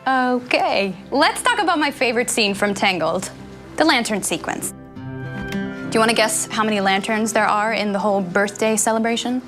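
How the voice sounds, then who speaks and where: A woman's voice: fairly high pitch, normal speed. A woman hosting a scripted show, presenting facts and quizzing the audience in an upbeat way. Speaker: host. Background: music.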